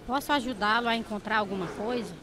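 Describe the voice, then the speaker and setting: A woman's voice: fairly high pitch, fast, a crowd in the background, close to an everyday voice. A woman acting as a devout, patient charity worker in a radio drama, speaking earnestly with a Brazilian accent. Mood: kindly, helpful. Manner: earnest, preaching.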